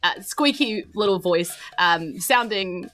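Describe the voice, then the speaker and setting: A woman running a tabletop role-playing game, narrating casually and teasing the players in an animated, laughing way. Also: mid-range voice, slow pace, faint music behind. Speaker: game master of a tabletop RPG podcast.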